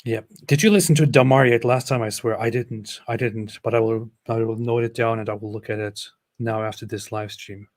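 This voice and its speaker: A man's voice: mid-range voice, fairly even intonation, fairly quick, hardly any pauses, a little mellow, no echo, clean studio sound. A man hosting a casual livestream, talking in a rambling, sarcastic way with many fillers and restarts. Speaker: livestream host reading super chats.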